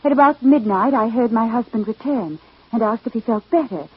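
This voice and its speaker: Husky, reserved genteel widow. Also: female voice, on the high side, fairly quick, dry recording. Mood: composed, sorrowful. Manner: formal, guarded, restrained. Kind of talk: 1940s-50s radio acting. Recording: tinny, phone-like old radio recording.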